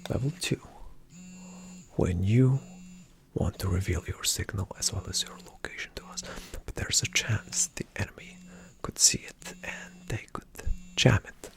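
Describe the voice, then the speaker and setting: A man performing a whispered ASMR roleplay as a calm spy agent, giving hushed, deliberate instructions in a soft, breathy, slightly creaky voice. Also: lowish voice. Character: calm, attentive male spy agent briefing a partner.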